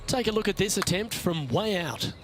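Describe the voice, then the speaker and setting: A television golf commentator in a sports game, with a fairly high voice. He is composed and informative in his play-by-play, and music plays prominently behind him. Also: lively intonation, moderate pace.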